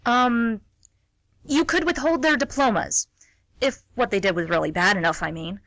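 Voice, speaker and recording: A woman playing a polite, hesitant schoolteacher who gently questions, in an amateur radio play. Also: a little high, moderate pace, no room sound. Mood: hesitant, questioning. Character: polite, mild female schoolteacher, curious and hesitant.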